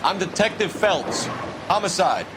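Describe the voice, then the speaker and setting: A man's voice: sits high, expressive, unhurried, heavy background noise. An actor playing an earnest, formal American homicide detective in his thirties in a 1940s crime game, with a clipped, insistent manner. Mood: formal, forceful. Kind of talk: video game crime drama acting.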